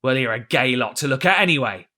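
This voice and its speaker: A man voicing a crafty, blustering old male pirate captain with gruff sea-dog talk and roaring threats. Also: mid-range voice, lively intonation, quick pace, sharp tone. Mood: scornful. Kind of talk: audiobook character reading.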